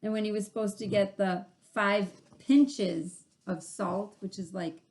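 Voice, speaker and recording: A woman hosting a children's read-aloud, expressive and playful, pausing to explain things in casual asides. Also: medium pitch. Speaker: story reader and host.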